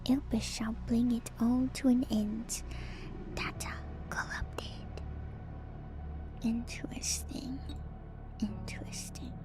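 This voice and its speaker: A woman streamer whispering softly and breathily close to the mic in an ASMR style, gently repeating words as she reads and comments. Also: fairly high pitch, level tone, slow, long pauses. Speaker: VTuber streamer.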